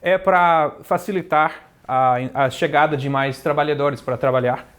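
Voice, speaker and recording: A man's voice: on the high side, fairly even intonation, a little slow, a bit bright. A man playing a boastful fake politician. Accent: American English. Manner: smug, brags, crude innuendo. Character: boastful fake Trump, later a scheming rival gringo. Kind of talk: comedy sketch interview.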